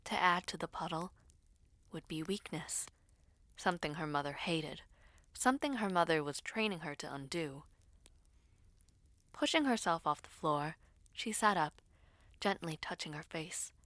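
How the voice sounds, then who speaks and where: A woman narrates dramatic fiction in a low, unhurried voice. Her delivery is measured and hushed, captured very dry and close. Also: no room sound. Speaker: storyteller. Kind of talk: scripted dramatic audio story narration.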